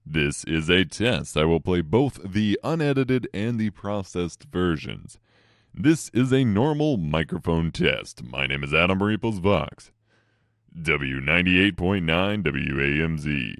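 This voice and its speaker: Deep slow radio-style male announcer. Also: animated, fairly bright tone, no room sound, studio quality. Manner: measured, announcing delivery. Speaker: voice tester.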